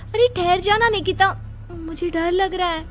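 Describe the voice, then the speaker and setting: A woman's voice: very high voice, a little slow, soft background music. A woman playing a frightened, anxious young office worker in a cartoon horror story, nervous and pleading. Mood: fearful. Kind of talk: animated Hindi horror story dubbing.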